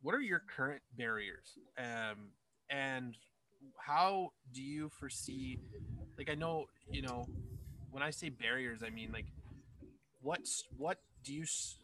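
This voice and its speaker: A man's voice: mid-range voice, lively intonation, unhurried, pushed and bright, slight background noise, low-quality recording. A man hosting a casual interview, rambling and joking at himself. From Scotland. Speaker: host.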